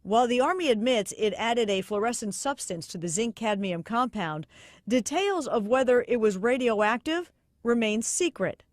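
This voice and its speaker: An American woman narrates a scripted TV news investigation. Her voice is on the high side, and the sound is very dry and close, with no room around it. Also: lively intonation, moderate pace, no echo. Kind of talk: scripted news report voice-over.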